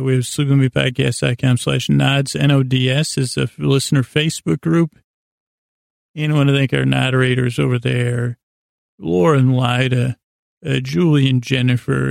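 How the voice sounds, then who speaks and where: A man hosting a bedtime podcast in a gravelly, creaky voice, rambling in a halting, meandering way that often circles back on itself. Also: medium pitch, darkish tone, dry recording, clean studio sound. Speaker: host of a bedtime sleep podcast. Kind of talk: rambling, unscripted bedtime monologue.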